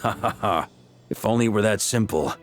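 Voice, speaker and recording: A man voicing a seasoned knight commander who explains plans with calm, assured authority. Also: on the low side, brisk, soft background music, lightly acted. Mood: confident. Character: seasoned male knight commander, confident and measured. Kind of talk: game voice acting.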